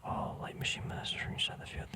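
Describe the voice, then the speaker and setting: A man speaks entirely in a hushed whisper, as in an ASMR video. He rambles casually through a show-and-tell, musing and hesitating, often letting a thought trail off before picking up the next. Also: quick pace. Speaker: ASMR video creator showing a trading card deck.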